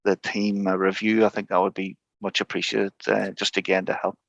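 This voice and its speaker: A man speaking as a guest expert in an informal online tutorial, encouraging but halting, over slightly dull call audio. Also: sits low, flat delivery, creaky, dry recording.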